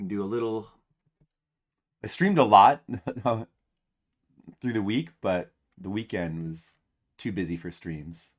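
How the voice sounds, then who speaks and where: A man's voice: on the low side, takes it slowly, pauses often. A man in his forties, a game streamer, chatting casually and ramblingly to his viewers at home, with self-mocking humor. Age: 40s.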